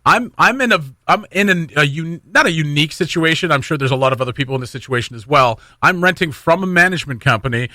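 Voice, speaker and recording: A man's voice: fairly high pitch, lively intonation, fast, few pauses, sharp tone, no room sound, studio quality. A Canadian man hosting a casual morning radio chat in a jokey, conversational way, often repeating phrases for emphasis. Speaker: radio host. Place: radio studio. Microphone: close studio microphone.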